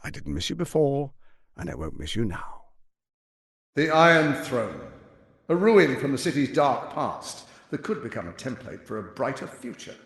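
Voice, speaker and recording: Pompous scheming tyrant with wildly swooping delivery. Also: male voice, mid-range voice, sing-song, moderate pace, a bit bright, slightly rough, dry recording, studio quality, full character voice. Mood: grandiose. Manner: pompous, theatrical, articulate, condescending. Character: scheming, silver-tongued tyrant archduke, grandiose and menacing. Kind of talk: theatrical video game voice acting.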